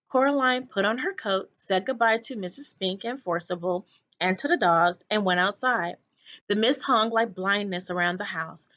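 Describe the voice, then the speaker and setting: A woman teacher reading a children's story aloud in an expressive narrating style. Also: medium pitch.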